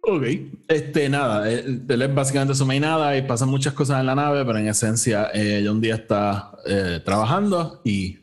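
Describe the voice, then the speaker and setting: A man's voice: mid-range voice, moderate pace, hardly any pauses. A man with a Puerto Rican accent hosting a casual, informal review chat, mixing English phrases into his Spanish. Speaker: podcast host.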